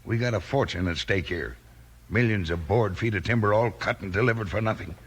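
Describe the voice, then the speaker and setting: Low, husky villain boss. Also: male voice, fairly quick. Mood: domineering. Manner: menacing, domineering, impatient. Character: ruthless, bullying timber-town crime boss. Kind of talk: 1950s radio western acting. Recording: 1950s radio broadcast.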